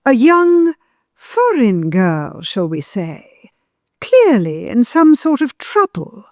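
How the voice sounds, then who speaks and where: A woman acting a haughty older mother-in-law, high-pitched. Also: wildly swooping pitch, slow pace, darkish tone, dry recording, studio quality, exaggerated voice. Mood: disdainful, insinuating. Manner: pompous, insinuating, righteous. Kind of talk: audio drama acting.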